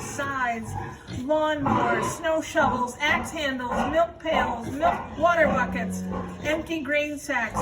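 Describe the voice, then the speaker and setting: A woman reading a children's story aloud as narrator. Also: sits high. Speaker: storybook reader, school staff. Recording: noisy room, distant microphone.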